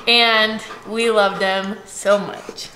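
A woman's voice: mid-range voice, flat delivery, very slow, pushed and bright, slight background noise. A woman in her late twenties from Washington state, chatting casually and telling stories with lots of "like" and "um" at home. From Washington state, USA. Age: late 20s. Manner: chatty storyteller, giggly, lots of "like" and "um". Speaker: vlogger. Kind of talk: casual chat. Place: bright home kitchen, indoors. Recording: echoey room.

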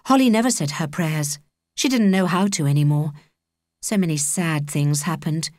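A woman's voice: sits low, normal speed, darkish tone, dry recording, clean studio sound. A woman with a British accent reading a children's story as an audiobook narrator.

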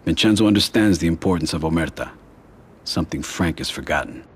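Game role: a quietly menacing American crime boss, very deep, measured. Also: male voice, animated, heavily acted. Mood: firm, commanding. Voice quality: husky. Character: cold, controlled mafia boss, quietly menacing. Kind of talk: dramatic video game acting.

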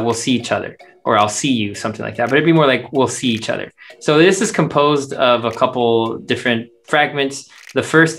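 A man's voice: medium pitch, a bit bright. A man teaching in a scripted language lesson, explaining words and their meanings step by step. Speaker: teacher, translator. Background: music.

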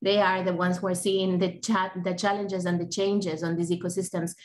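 A woman's voice: lowish voice, level tone, normal speed. A woman in her forties from Ecuador, speaking on an online panel over a video call, earnest and full of fillers and restarts. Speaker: panelist from a bird conservation group. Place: home or office on a video call, indoors. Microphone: laptop webcam mic on a video call.

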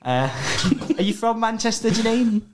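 A man's voice: high-pitched, expressive, a little slow. A young man stammers and giggles through an improvised comedy date. He sits close to a desk mic in a small studio, with a faint hiss behind. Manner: awkward, hesitant, giggly. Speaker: podcast co-host. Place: small home podcast studio. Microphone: studio mic on a stand.

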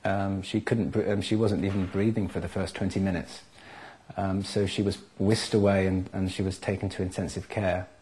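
A man, giving a halting personal account in an interview at home. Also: sits low, flat delivery, darkish tone. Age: 30s. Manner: hesitant, halting. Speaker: father telling his family's story. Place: living room at home, indoors.

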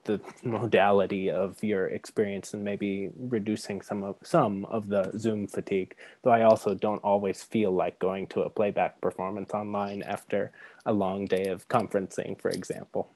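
A man in his thirties speaks as a panelist on a video call, explaining carefully and articulately. His voice sits a bit low and the sound is dry, picked up by earbuds. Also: flat delivery, moderate pace, a little mellow, no room sound. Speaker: panelist, theatre practitioner. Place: bright room at home, video call. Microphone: earbuds mic.

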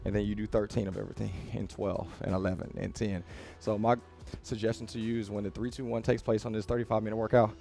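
Male gym coach, 30s, lowish register with fry, casual and motivational, giving clear step-by-step workout instruction. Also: level tone, quick pace, hardly any pauses, warm tone, soft background music. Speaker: fitness coach. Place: small home-style gym with rubber floor, indoors.

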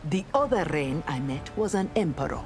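A woman's voice: sits low, expressive, moderate pace. A woman playing a defiant, honorable female ninja warrior in a video game, speaking firmly and earnestly. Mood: composed. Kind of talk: scripted video game dialogue. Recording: music behind the voice.

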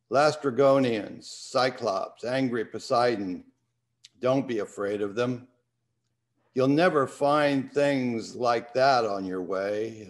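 Older guy on a video call from his study, slow and thoughtful, middle-pitched voice. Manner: unhurried, reflective. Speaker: host. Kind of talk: casual talk and poetry reading. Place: home study with bookshelves. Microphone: video call webcam.

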